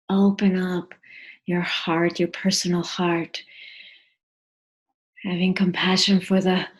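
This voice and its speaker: A woman guides a meditation in a lowish, husky voice. Her delivery is soft and breathy, often sinking toward a whisper. She speaks gently and deliberately, leaving pauses between short phrases.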